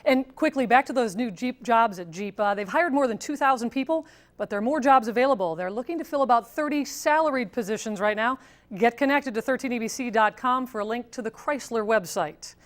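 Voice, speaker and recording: A woman's voice: fairly high pitch, fairly quick. An American woman delivering scripted television news as a reporter.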